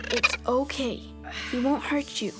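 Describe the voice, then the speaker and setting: A man's voice: extremely high pitch, steady tone, unhurried, over loud music. An actor playing an earnest young boy, a confident explainer who loves mythology, in an audio adventure drama. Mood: gentle, reassuring.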